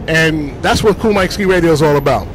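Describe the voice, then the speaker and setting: A man with a Bronx New York accent, a DJ talking casually in slang on a city street, full of shout-outs and "you know what I'm saying". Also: on the high side, fairly quick, slight background noise, low-quality recording. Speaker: DJ interviewed on the street.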